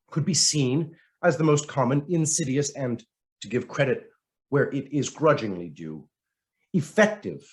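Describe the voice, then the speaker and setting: A man reading a scripted commentary aloud in a dramatic, emphatic way with deliberate phrasing. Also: mid-range voice. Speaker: reader of written commentary.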